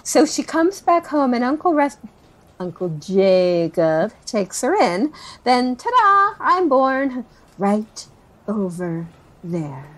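A woman's voice: on the high side, sing-song, slow. An actress playing a chatty, nervous woman in her forties in an audio drama, rambling through family memories with sighs and self-corrections. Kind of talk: interviewee in a found-footage audio drama.

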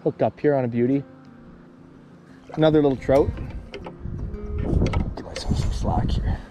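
A man, hosting a fishing vlog from a canoe and talking excitedly to viewers. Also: mid-range voice, a little slow. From Canada. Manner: excited, talks to camera viewers. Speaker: fishing show host. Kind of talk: casual outdoor vlog chat. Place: canoe on a river outdoors. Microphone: action camera on the canoe. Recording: music under the voice.

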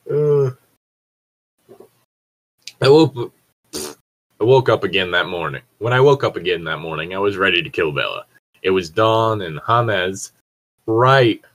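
A man with a low voice reads aloud informally as part of an online group. He breaks off for joking, sardonic remarks and swears freely. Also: sing-song, slow, pauses often, fairly bright tone, rough recording. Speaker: co-host reader. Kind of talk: casual group read-aloud of fan fiction with joking commentary. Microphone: own mic on an online voice chat.

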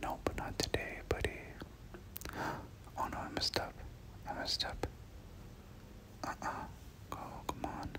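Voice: slow pace, long pauses. A person doing whispered ASMR game commentary, speaking casually in gamer slang and often repeating short phrases. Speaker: gameplay commentator.

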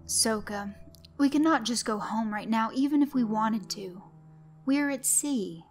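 Gentle father, read by a woman, calm, soothing, patient, faint music behind. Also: a little high, a little slow, lightly acted. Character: gentle soft-spoken father, middle-aged man.

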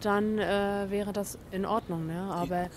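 Woman, middle-aged, measured, outdoor handheld mic. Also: medium pitch, fairly even intonation, darkish tone, a little hiss or hum. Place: town square outdoors. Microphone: interviewer's handheld mic.

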